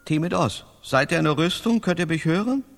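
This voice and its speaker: An actor playing a knight's earnest, lofty companion in a German radio play, formal and exhorting in archaic diction. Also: male voice, fairly high pitch, animated, brisk, creaky, faint music behind.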